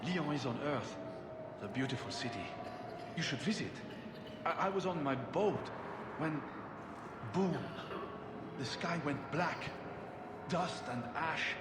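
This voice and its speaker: A man's voice: on the high side, expressive, takes it slowly, long pauses. A man playing an earnest, talkative refugee in a video game. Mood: friendly, polite. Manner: chatty, earnest. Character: friendly, talkative male French refugee from Lyon. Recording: roomy, loud ambient noise behind.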